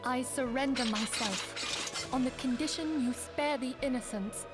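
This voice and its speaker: A woman voicing a gentle, dignified high priestess in a fantasy game, composed, earnest and formal. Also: a little slow. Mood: tense, resolute. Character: gentle, dignified high priestess, brave leader of a secret order. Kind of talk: scripted fantasy game dialogue. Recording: game music behind the voice. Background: orchestral game music.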